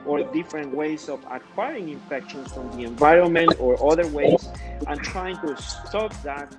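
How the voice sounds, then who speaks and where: Guy doctor w peruvian spanish accent on a video call, hesitant stop-start explaining, music behind. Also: on the high side. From Peru. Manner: halting, hesitant phrasing. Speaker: interview guest, infectious diseases doctor. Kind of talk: explanatory interview answers. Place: indoor room, video call.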